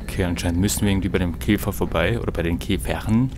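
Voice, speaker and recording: A man, a little hoarse, calmly musing as he comments on his own video game play. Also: deep voice, quick pace, background noise. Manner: calm, musing, talks to the viewer. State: a bit hoarse. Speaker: let's play host. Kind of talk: gameplay commentary.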